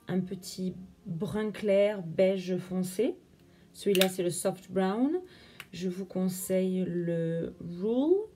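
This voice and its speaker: A woman's voice: mid-range voice, dark tone. A young woman chatting through a makeup tutorial, explaining each step as she works. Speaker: beauty vlogger.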